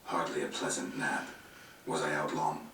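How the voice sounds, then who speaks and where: An actor playing a gruff, world-weary monster hunter in a video game, terse and understated, with a gravelly voice. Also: male voice, flat delivery, normal speed, bright, forceful tone, slight background noise. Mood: weary, matter-of-fact. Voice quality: gravelly, low. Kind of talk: video game cutscene dialogue. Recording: roomy game playback, slightly distant, faint music.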